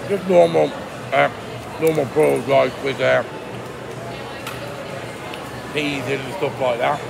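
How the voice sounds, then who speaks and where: A man in his fifties eating and reviewing food court dishes into a phone at arm's length, chatty and relaxed, pausing to murmur while he tastes. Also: mid-range voice, gravelly, background noise. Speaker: food vlogger. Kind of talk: casual food review vlog. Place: indoor shopping mall food court under a glass roof. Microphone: phone held at arm's length, facing up. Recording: loud mall ambience behind the voice.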